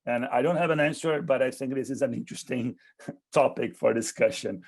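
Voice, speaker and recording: A man's voice: medium pitch, a little fast, creaky. A man speaking as a panelist, searching for words with many restarts. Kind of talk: online panel talk.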